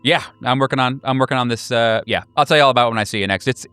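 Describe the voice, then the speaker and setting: A man's voice: mid-range voice, racing, bright, forceful tone, smooth, no echo, soft background music, close to an everyday voice. A man voicing an eager, scatterbrained night-owl friend who rambles and stammers in a tabletop game. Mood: sheepish, eager.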